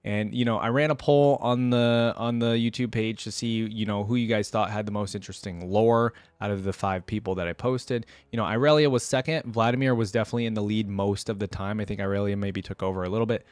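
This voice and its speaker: A man's voice: fairly low pitch, no echo. A man hosting a fan reaction video with casual commentary, rambling informally and thinking aloud as he talks to viewers. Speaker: host of a fan reaction channel.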